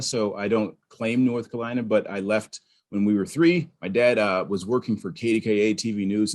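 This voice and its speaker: A man from Pittsburgh, a podcast guest, telling his story in an animated, conversational way with frequent restarts. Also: fairly low pitch. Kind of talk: conversational interview.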